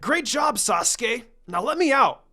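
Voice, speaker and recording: A man voicing an excitable, pleading young ninja boy in a fan fiction reading, loud and impulsive. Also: extremely high pitch, measured pace, voice pushed up, exaggerated voice.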